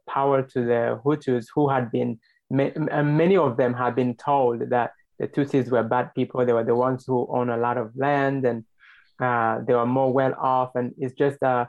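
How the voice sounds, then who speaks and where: Young man from Rwanda, interview guest, steady flat delivery, dull lo-fi sound. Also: medium pitch. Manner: measured, hesitant, many fillers. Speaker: guest, spokesperson. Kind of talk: interview storytelling.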